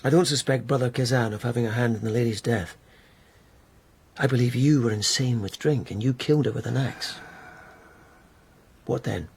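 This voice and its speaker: A male court investigator in a radio drama, with a slightly rough-edged voice at an average pitch. He is mannerly and probing, laying out questions in a measured way with a goading edge. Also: darkish tone. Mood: accusing, goading. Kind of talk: BBC radio drama acting.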